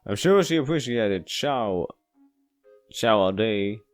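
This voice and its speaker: A man's voice: fairly low pitch, animated, no echo, soft background music. A man doing a casual read-aloud of a visual novel, often stumbling and going back over lines. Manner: halting, stumbling and rereading lines. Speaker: let's player reading a visual novel aloud.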